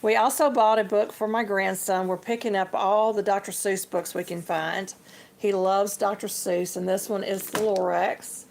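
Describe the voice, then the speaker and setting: Chatty woman with a gravelly voice. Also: medium pitch, fairly even intonation, normal speed. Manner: chatty, homey, addresses viewers as y'all. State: slightly hoarse. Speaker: livestream host. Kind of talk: casual livestream show-and-tell.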